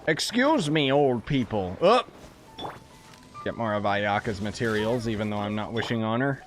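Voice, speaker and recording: A man's voice: on the low side, animated, normal speed, faint music behind. A man giving casual, joking commentary while playing a video game, full of sarcastic asides. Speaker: gaming streamer. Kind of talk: casual let's-play commentary. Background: game audio.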